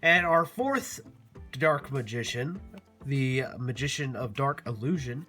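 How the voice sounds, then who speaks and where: Man, nasal from allergies, upbeat chatty channel host, mid-range voice, soft music behind. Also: medium pitch, animated, slow pace. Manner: upbeat, chatty, with frequent filler "uh"s and restarts. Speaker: YouTube card game channel host. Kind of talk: casual deck profile talk to viewers.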